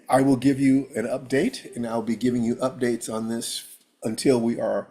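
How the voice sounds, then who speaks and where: A man with a husky, rough-edged voice talks loosely to his live-stream audience from home. He rambles, fills pauses and keeps clearing his throat. His pitch sits in an ordinary middle range for a man. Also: warm tone. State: rough, hoarse voice. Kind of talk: casual live-stream monologue.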